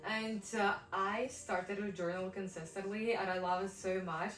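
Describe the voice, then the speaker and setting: A woman's voice: medium pitch, fairly even intonation, moderate pace, a bit bright, far from the mic. Young Ukrainian woman chatting, echoey room. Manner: thoughtful, hesitant, many fillers. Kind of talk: casual chat.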